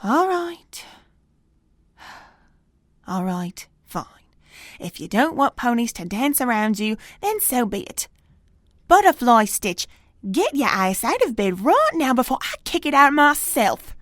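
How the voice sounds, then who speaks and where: Casting: woman to play firm, protective pony mechanic friend, informal audio drama delivery, high and very animated. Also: slow, pauses often, no echo, clean studio sound, full character voice. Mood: exasperated, stern. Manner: coaxing, earnest, informal. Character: female pony maintenance worker, caring, firm, foul-mouthed friend.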